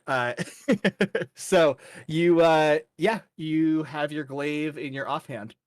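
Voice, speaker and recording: A man's voice: a little high, slow, no echo. A man narrating a tabletop game as game master in a casual, chatty, colorful and informal way.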